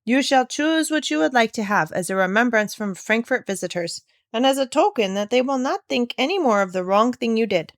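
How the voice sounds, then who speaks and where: A woman voicing a kindly, generous old grandmother in a storybook, speaking warmly and firmly to a child. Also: on the high side, animated, fairly quick, few pauses. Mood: kindly, emphatic. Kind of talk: storybook read-aloud.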